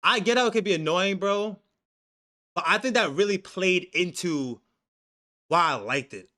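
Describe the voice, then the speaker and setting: A young man with a bright, fairly high voice chats loosely on a live anime stream. He swears freely, stumbles through restarts and thanks viewers from the chat. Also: animated, normal speed. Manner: casual, profane, reads chat aloud, restarts often. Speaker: stream co-host. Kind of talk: casual anime discussion stream.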